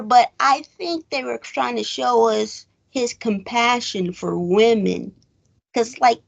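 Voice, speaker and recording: Woman with a heavily creaky, gravelly voice. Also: on the high side. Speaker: podcast co-host discussing films. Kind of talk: casual chat.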